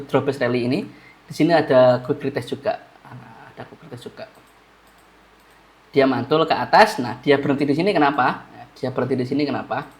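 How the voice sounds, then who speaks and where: A man with a stuffy, blocked nose, feeling unwell, walking viewers through a chart analysis in a casual way and often repeating key phrases. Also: medium pitch. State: stuffy-nosed, under the weather. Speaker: trading analyst.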